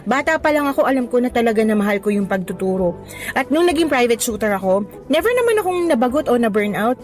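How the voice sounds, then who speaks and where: A woman's voice: a little high, very animated, fast talker, faint music behind. A woman acting as a cheerful young new teacher in a radio drama, chatty and appreciative with a hopeful, slightly nervous temper. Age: young adult. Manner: bright-spirited, chatty, appreciative.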